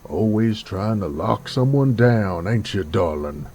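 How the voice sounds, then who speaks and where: A man playing a gruff, cocky werewolf bounty hunter in a Western audio roleplay, drawling in an American Southern accent with sardonic taunts. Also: on the low side, animated, measured pace, a little mellow, slight background noise. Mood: defiant, sardonic. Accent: American Southern drawl, Western style.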